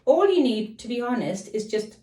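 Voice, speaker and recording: Slightly croaky woman chatting, echoey room. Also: fairly high pitch, expressive, moderate pace, warm tone. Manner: rambling, digresses and apologizes. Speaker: lifestyle vlogger. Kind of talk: casual chat to camera. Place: home.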